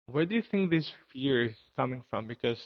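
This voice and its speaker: A man's voice: mid-range voice, lively intonation, brisk, dry recording. Earnest male podcast host, dry muffled sound. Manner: earnest, enthusiastic, says hmm a lot. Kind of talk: casual interview. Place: home in Costa Rica.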